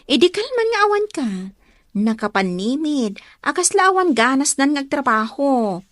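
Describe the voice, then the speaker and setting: A woman's voice: high voice, very animated, normal speed, a bit bright, dry recording, clean studio sound. An actress with an Ilocano accent playing a teasing, meddling older woman in a radio drama. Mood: playful teasing.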